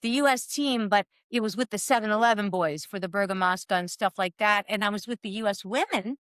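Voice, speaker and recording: A woman in her sixties from California, a former cycling soigneur telling animated stories in an interview and acting out the dialogue. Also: medium pitch, a little fast, dry recording, studio quality. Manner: lively, acts out quoted dialogue.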